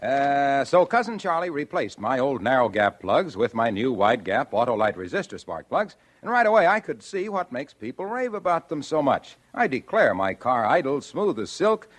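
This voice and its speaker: Folksy vintage radio salesman, mid-pitched, swooping intonation, chatty hard sell. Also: very animated, moderate pace, few pauses. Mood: playful, folksy. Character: Harlow, folksy eager male radio pitchman. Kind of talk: 1940s radio commercial skit.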